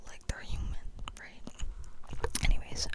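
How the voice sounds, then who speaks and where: A woman whispering breathily in a playful, mock-serious ASMR monologue, casual and rambling, often checking in with the listener. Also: unhurried. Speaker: ASMR video host.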